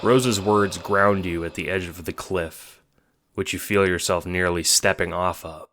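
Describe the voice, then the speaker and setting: A man's voice: low-pitched, steady tone, unhurried, fairly bright tone, a little hiss or hum. A man narrating a scripted second-person story for an audio drama.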